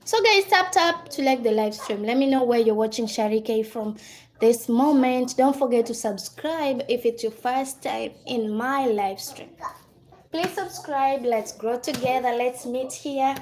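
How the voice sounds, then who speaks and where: High-voiced Kenyan woman chatting on a livestream. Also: measured pace, a little mellow. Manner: chatty, reads and answers viewer comments, thanks viewers by name. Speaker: livestream host. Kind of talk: casual livestream chat.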